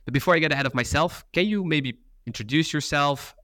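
A man's voice: a little high, a little fast, bright, forceful tone, dry recording, clean studio sound. A man hosting a podcast, reading an introduction and then asking loose, rambling questions. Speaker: podcast host.

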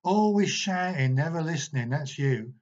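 A man voicing an eerie, unsettlingly calm boy who speaks in rough working-class slang. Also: fairly high pitch, moderate pace, pitched above the performer's own voice, lightly acted. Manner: rough working-class speech, quiet and accusing. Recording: dull, muffled recording.

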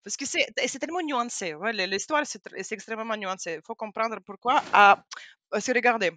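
A woman from Ukraine, a native Russian speaker with a Ukrainian accent, talking as an interview guest in animated, halting French full of fillers. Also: fairly high pitch. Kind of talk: casual interview talk.

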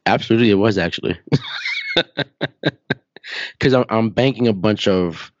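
A podcast host with a deep, low voice that is still recovering from being lost. He talks in a relaxed, blunt way and swears casually. The audio is dull and dry, with a lo-fi feel. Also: moderate pace, no echo. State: voice still recovering after losing it. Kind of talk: casual interview chat.

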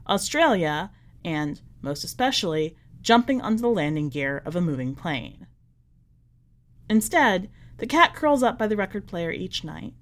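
A woman reading in a measured, expressive way, as a podcast host presenting and narrating short fiction. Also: lowish voice. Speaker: podcast host and story reader.

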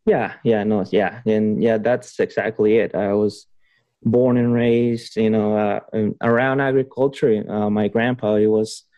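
A man's voice: lowish voice, fairly even intonation, measured pace, mellow, no room sound, dull audio. A young man from Honduras being interviewed on a podcast, chatting casually with lots of fillers and restarts. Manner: rambling, many fillers and restarts, laughs often. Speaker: podcast guest, PhD student.

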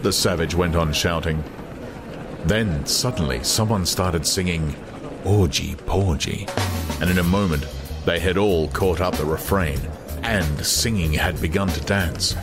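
A man's voice: low voice, a little slow, over music. A man reading literary fiction as a scripted, dramatic audiobook narration, with noise behind him.

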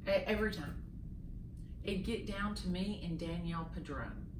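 A woman in her fifties with a Southern US accent telling stories in an animated, chatty interview manner. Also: on the low side, normal speed. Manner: animated, chatty, with many fillers. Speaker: guest, real estate team leader. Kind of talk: casual interview storytelling. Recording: roomy, distant and noisy.

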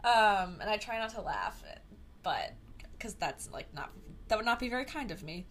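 A woman's voice: medium pitch, animated, moderate pace, fairly bright tone, slight background noise. A young woman from the Chicago area hosting a solo podcast, chatting casually and rambling through tangents with many fillers. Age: young adult. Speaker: podcast host.

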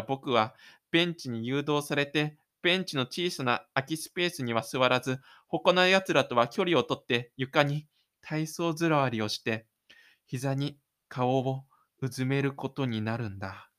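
Male actor as lonely, stubborn teenage schoolboy; higher-set voice, brooding, self-pitying audio-drama monologue. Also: a bit bright, clear, resonant tone.

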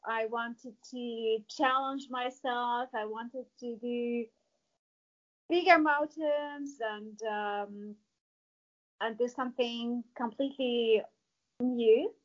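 A woman from Morocco, a mountaineer, answering interview questions in a measured way with fillers. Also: on the high side, steady tone, lo-fi sound. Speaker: mountaineer guest.

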